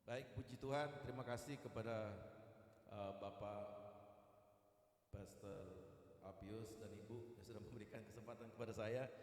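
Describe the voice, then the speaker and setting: A man's voice: on the low side, steady tone, normal speed, pauses often, a little hiss or hum. A man, a guest evangelist speaking to a church in a chatty, jocular sermon style. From East Kalimantan, Indonesia. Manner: chatty and jocular, with repeated "gitu" tags. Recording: echoey hall, long reverb.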